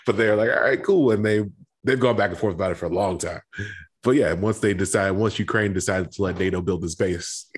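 A man with a very deep, husky voice explains things in a loose, offhand way during casual podcast talk. He sounds relaxed and unpolished. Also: brisk.

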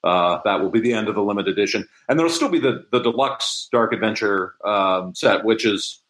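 A man's voice: on the low side, a little fast, a bit bright. A man speaking as an interview guest, explaining things in a casual, conversational way. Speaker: interview guest, audio drama producer.

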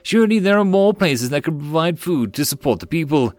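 A man voicing a level-headed, wary male marine commander who speaks in a measured way. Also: fairly high pitch, expressive, darkish tone, dry recording, voice pushed up, natural voice.

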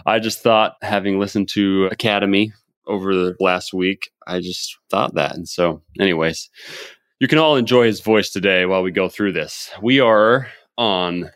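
A man's voice: deep voice, moderate pace, fairly bright tone, dry recording, studio quality. A man hosting a podcast, chatting and summing up advice in an upbeat coaching tone. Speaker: podcast host. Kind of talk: casual podcast chat and summary.

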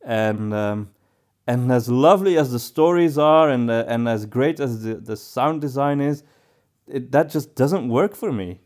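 A man's voice: mid-range voice, animated, unhurried, darkish tone. Man co-hosting a casual discussion. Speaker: podcast co-host.

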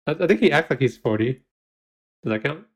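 A man's voice: a little high, animated, brisk. A man co-hosting a podcast, recapping a baking show in casual chat, giggling often and using lots of fillers. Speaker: podcast co-host.

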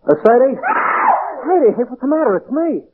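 An actor playing a tired, easygoing working husband, puzzled and grumbling, in an old-time radio drama. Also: male voice, very high voice, very animated, fairly quick, husky, raised voice, exaggerated voice. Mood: concerned. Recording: muffled lo-fi old radio recording.

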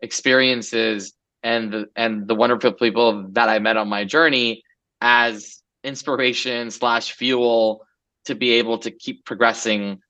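Bright-voiced man, relaxed rambling talk. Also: medium pitch, a little slow, rough recording. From Los Angeles. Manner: relaxed, rambling, many fillers. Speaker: guest entrepreneur. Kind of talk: casual interview chat.

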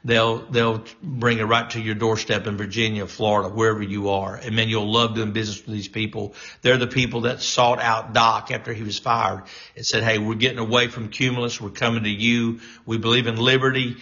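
A man with a bright, forceful voice talks like a pastor to his live-stream audience, starting over and filling gaps with "uh" as he goes. He sounds encouraging and a little preachy. The sound is dull and lo-fi. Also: on the low side, little pitch movement. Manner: halting, many fillers and restarts. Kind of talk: casual live-stream talk.

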